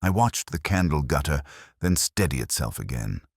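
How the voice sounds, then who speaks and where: A man narrating a scripted first-person detective story in a measured, brooding, literary manner. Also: extremely low pitch, big pitch swings, husky, no echo, clean studio sound.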